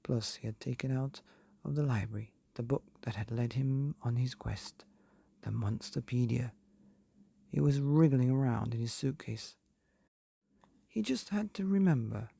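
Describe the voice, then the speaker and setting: A man narrating a bedtime storybook from a script, measured, with deliberate pauses between phrases. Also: medium pitch, lively intonation, vocal fry, mellow, breathy edge, no room sound. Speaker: narrator of a bedtime stories podcast.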